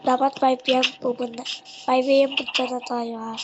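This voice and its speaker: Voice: sits high, level tone, moderate pace, lo-fi sound. A child with a Filipino accent chatting casually while playing a game, role-playing and repeating short phrases to themself. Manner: repetitive, talking to self in short phrases. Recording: very noisy home recording with clicks.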